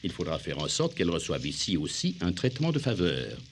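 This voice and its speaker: A fussy gentleman agent in a dubbed cartoon. His voice is fairly low and animated in pitch. He speaks with formal courtesy and makes exacting demands. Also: brisk, a little hiss or hum, exaggerated voice. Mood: formal, demanding. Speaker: voice actor. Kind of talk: French cartoon dub acting.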